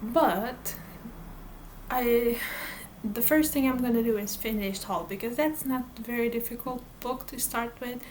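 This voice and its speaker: A woman whose first language is Portuguese talks unhurriedly to her viewers, her voice sitting a little high. She often pauses with fillers and restarts as she chats at home, with a faint hum of the room behind her. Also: darkish tone. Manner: hesitant, many fillers and restarts. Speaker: book vlogger. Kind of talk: casual chat to camera.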